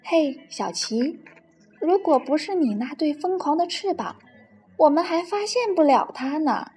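A woman's voice: sits high, animated, measured pace, darkish tone, faint music behind, voice pushed up. A woman voicing a big, friendly male bird, a caring companion in a storybook, with a kindly manner. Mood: amused, pleased.

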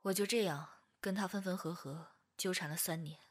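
A woman's voice: lowish voice, moderate pace, lightly acted. A woman acting in a Chinese audio drama as a tender, wounded young woman confessing a past love. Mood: wistful narrating.

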